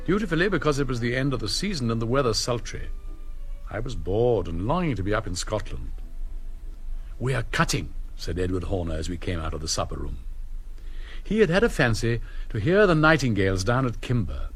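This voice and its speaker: A man's voice: mid-range voice, natural voice. Actor as wistful older upper-class man, noisy recording. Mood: wistful recollection. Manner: elegiac, patrician. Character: wistful upper-class man recalling his gilded youth. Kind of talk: reflective memoir monologue in a stage play.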